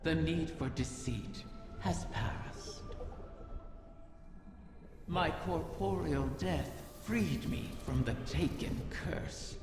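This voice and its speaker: An ancient female dragon spirit speaks with swooping, theatrical mockery inside a long cavernous reverb. The delivery is extremely slow and sly, a vengeful game creature savoring each courtly taunt, with music behind. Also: low voice, expressive, creaky, heavily acted. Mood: sly, courteous. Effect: heavy reverb on the voice. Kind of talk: video game character acting.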